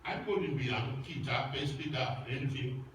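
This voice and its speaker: A man's voice: mid-range voice, fairly even intonation, normal speed, a bit bright, a little hiss or hum. A pastor from Samoa preaching a sermon in English, his second language, with a Samoan accent. He speaks in simple, emphatic, repeated phrases. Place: church. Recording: echoey church hall, voice from far off.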